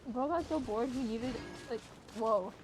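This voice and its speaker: A woman's voice: a little slow, music in the background. A young woman chatting casually as she plays a game, with slangy, teasing talk. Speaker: gamer playing a story quest. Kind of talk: casual chat over gameplay. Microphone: low-quality mic on an online voice call. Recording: low-quality mic with other voices behind.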